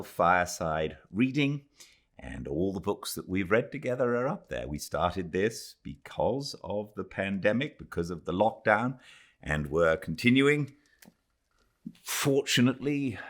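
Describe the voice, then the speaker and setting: A man from Britain who narrates audiobooks, chatting casually and then reading a classic novel aloud by his fireplace at home. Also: deep voice. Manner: relaxed, chatty intro then measured literary reading. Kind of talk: live fireside book reading.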